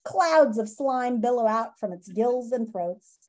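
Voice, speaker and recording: A woman in her fifties reading a children's nonfiction book aloud in a dramatic, teacherly way with emphatic pauses, on a video call from a room at home. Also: a little high, expressive, a little mellow. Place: small home room, indoors. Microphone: video call, computer microphone.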